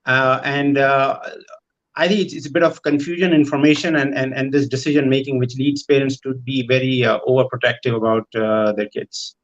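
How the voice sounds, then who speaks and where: A man's voice: medium pitch, flat delivery, fairly quick. A man giving unscripted answers as a parent panelist, recorded with dull, lo-fi sound.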